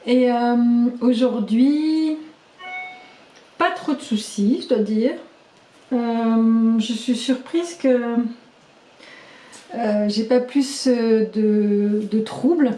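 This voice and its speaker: Halting, slightly hoarse woman in echoey room. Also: on the high side, dark tone. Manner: chatty and halting, many fillers and restarts. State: losing her voice, slightly hoarse. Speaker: vlogger keeping a video diary. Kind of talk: casual spoken video diary.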